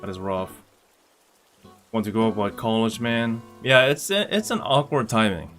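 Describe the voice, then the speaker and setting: A young man from Indonesia chats loosely with his viewers, full of fillers and restarts. His voice sits on the low side. Soft guitar music plays faintly underneath. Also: a little slow. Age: young adult. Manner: relaxed, rambling with fillers and restarts. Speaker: streamer. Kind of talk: casual chat reading viewer comments.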